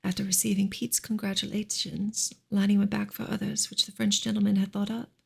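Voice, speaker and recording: A woman reads a novel aloud in a soft ASMR style. Her voice is breathy and husky with a creaky fry at the edges. The delivery is gentle and measured, staying on an even, narrow line of pitch. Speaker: ASMR reader and livestream host. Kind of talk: soft ASMR audiobook reading of a classic novel.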